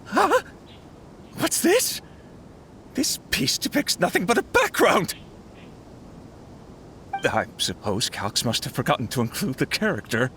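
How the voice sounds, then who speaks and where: An actor playing an eager, formal festival announcer in an anime-style video game, addressing a gathering. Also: male voice, fairly high pitch, wildly swooping pitch, unhurried, long pauses, bright, forceful tone, slight background noise, exaggerated voice. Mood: flustered.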